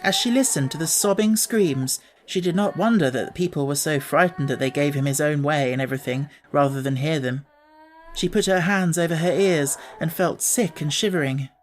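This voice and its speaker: A woman with a slightly low voice reads a children's book. Her delivery is scripted, expressive storytelling, as an audiobook narrator. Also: moderate pace.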